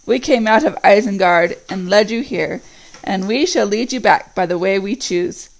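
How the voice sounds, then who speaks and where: A woman's voice: creaky, natural voice, rough recording. Ugluk, a woman doing a growling orc chief. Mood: boastful. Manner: deep growl, slow and heavy. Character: huge male orc captain, commanding, brutal.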